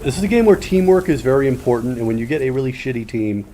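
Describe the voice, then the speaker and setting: A man's voice: mid-range voice, expressive, fast talker, background noise. A man streaming a shooter game, rambling in casual commentary with plenty of swearing and answering his chat.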